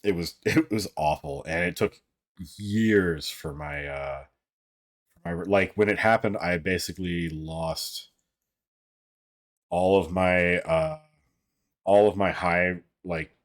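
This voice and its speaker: A young man with a very low, deep voice chats loosely with his stream audience. His delivery is fairly monotone, full of "like" and "uh" and casual cursing. The voice sounds a bit worn and strained. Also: takes it slowly, pauses often. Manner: rambling, frequent swearing and filler words. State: voice strained from an old throat injury. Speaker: livestream host. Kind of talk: casual chat with viewers.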